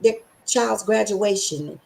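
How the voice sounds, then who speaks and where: A woman hosting a podcast, with a scratchy voice, talking with excited emphasis and slowly repeating affirmations. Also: mid-range voice, gravelly. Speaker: podcast host.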